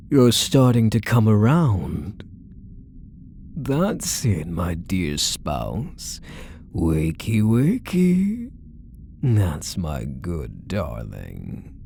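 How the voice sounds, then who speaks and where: A man playing a possessive, obsessively doting kidnapper in an intimate ASMR roleplay, hushed and menacingly tender, often slipping into whispers. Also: lowish voice, creaky, slight background noise, heavily acted. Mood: soothing, possessive. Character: possessive male yandere kidnapper, obsessively doting on captive spouse.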